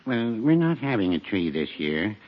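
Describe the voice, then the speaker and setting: A henpecked older American druggist in a 1940s radio sitcom. He is low-pitched, hesitant and deadpan. The old recording is muffled and clearly noisy. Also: moderate pace. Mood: deadpan, obliging. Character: mild, dry, henpecked older male druggist. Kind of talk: 1940s radio situation comedy acting.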